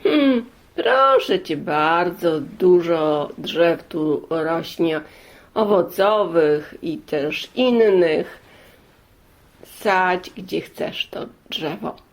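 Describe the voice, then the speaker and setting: A woman acts out a poor but joyful father from a village storybook. He talks slowly in a slightly creaky voice, open and eager, welcoming in short exclamations. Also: on the high side, expressive, full character voice. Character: cheerful, hospitable poor father of a village family.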